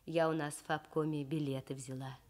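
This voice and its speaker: Woman playing a gently chiding, caring mother. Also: sits low, little pitch movement, fairly quick, pitched below the performer's own voice, lightly acted. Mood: gentle, probing. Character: caring, gently scolding working mother of a schoolboy.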